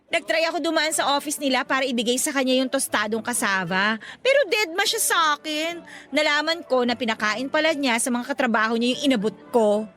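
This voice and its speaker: A woman's voice: sits high, animated, brisk, a bit bright, faint music behind. A woman playing a brash, teasing young vendor in a Filipino radio drama, with a sassy, gossipy and exclamatory delivery. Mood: resigned, gossipy.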